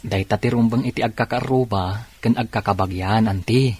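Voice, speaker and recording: A man playing an earnest, forgiving young nephew with a husky voice in an Ilocano radio drama. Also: on the low side, moderate pace, darkish tone.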